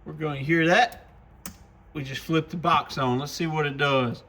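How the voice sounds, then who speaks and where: A man with a slightly high voice presents gear in a casual, folksy demo. He sounds enthusiastic and speaks informally, as a shop presenter would to regular viewers. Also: steady tone, moderate pace, a bit bright.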